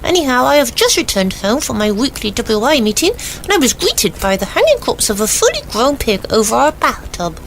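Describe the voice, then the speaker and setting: Woman playing a prim, high-voiced British letter writer. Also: sing-song, fairly quick, slight background noise, rough recording. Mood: perturbed, formal. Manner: formal, wordy. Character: prim, perturbed villager writing to agony aunt. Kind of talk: 1940s radio comedy letter reading.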